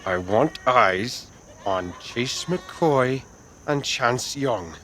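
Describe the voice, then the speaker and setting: A man voicing a menacing, controlling Old West crime boss in a radio western, with drawn-out, forceful delivery. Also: medium pitch, animated, a little hiss or hum, pitched above the performer's own voice.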